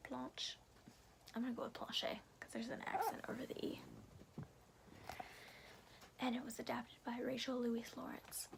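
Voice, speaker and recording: A woman speaking in a soft, breathy whisper in an informal, unhurried ASMR style, as host of a read-aloud series. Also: a little high, poor audio. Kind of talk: whispered ASMR, casual rambling chat and storybook reading.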